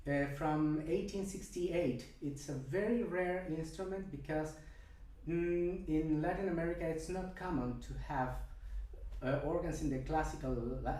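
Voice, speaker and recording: A musician with a Venezuelan Spanish accent being interviewed over a video call from a very echoey space, his muffled dark voice far from the mic, picking his words haltingly. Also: mid-range voice. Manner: halting, searching for words in a second language. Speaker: interview guest, church organist and singer. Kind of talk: conversational interview answers. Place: video call from Buenos Aires, reverberant space.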